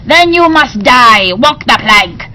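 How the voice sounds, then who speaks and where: A woman's voice: on the high side, expressive, takes it slowly, sharp tone, pitched above the performer's own voice, heavily acted. A bossy pirate queen from a storybook, acted out by a woman. She talks in commanding, threatening, old-time pirate phrases. The recording has noticeable noise behind her.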